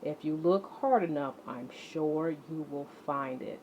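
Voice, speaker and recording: A woman teaching children as an exercise leader, speaking deliberately and emphatically, addressing her students directly. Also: low-pitched. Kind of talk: instructional lesson to children, read-along with warm-up exercises. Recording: muffled audio with clear background noise.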